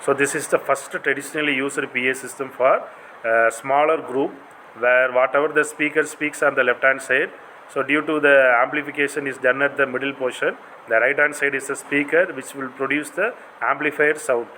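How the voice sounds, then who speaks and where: Bright-voiced Indian man lecturing over noise. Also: mid-range voice, fairly even intonation, normal speed, low-quality recording. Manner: didactic, non-native English phrasing. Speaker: college lecturer. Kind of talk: explanatory lecture.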